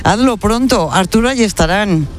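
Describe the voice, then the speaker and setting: A man reporting live for radio from a city event, brisk and conversational, often tagging his sentences with quick questions. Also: high-pitched, expressive, a little hiss or hum.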